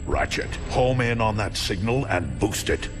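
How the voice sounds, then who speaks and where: An actor playing a noble, resolute robot commander in a video game, speaking with grave, formal authority. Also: male voice, on the low side, very animated, a little slow, over music. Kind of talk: video game cutscene acting.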